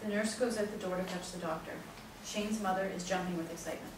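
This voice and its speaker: A woman narrates a stage play, reading out scene directions in a scripted style. Her mid-pitched voice comes from far off the mic in a very echoey, noisy room. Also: steady tone, fast.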